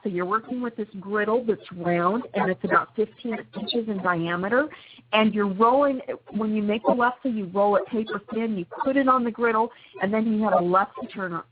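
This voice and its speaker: A woman telling family holiday stories in a chatty, laughing way as a guest on a call-in show. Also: medium pitch. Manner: chatty, laughs often, storytelling. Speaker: radio guest. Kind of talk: casual call-in chat. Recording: tinny phone line with noise.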